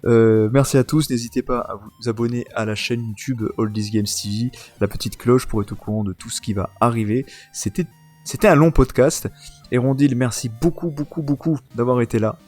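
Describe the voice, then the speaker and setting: A man's voice: medium pitch, normal speed, a little mellow. A man hosting a casual podcast, chatting informally and often amused. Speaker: podcast host. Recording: music playing behind the voice. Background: music.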